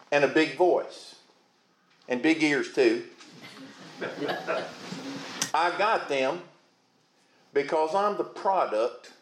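A man preaching a sermon in a booming voice, folksy and calling out to the congregation. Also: roomy sound, slight background noise. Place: church.

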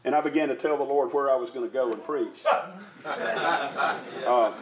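Folksy preacher in an echoing room. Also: male voice, mid-range voice, lively intonation, normal speed, noisy background. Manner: folksy, conversational, chuckling storyteller, repeats phrases for emphasis. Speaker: Primitive Baptist preacher and pastor. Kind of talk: sermon to a congregation. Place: indoors, church meeting room. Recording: roomy, echoing meeting-hall sound.

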